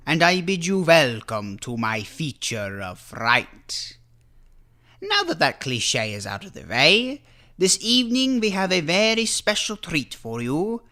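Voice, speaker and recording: Man playing a theatrical vampire count with swooping pitch. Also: bright, forceful tone, full character voice. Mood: grandly welcoming. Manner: theatrical, grandiose, formal wording. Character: campy male vampire count hosting a horror show. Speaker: interviewer and host. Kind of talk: theatrical horror-host introduction and interview.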